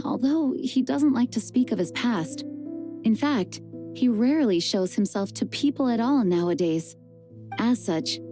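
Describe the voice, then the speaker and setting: An actress playing a composed, dutiful adult woman knight who leads, speaking in a measured, earnest and formal way. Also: medium pitch, warm tone, natural voice. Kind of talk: video game voice acting. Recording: game music under the voice. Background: game music.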